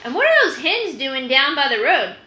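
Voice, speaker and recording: A woman as a fretting, high-voiced storybook farmer. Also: sing-song, moderate pace, pushed and bright, reverberant, heavily acted. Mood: puzzled, fretting. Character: puzzled farm couple, plainspoken, fretting about eggs.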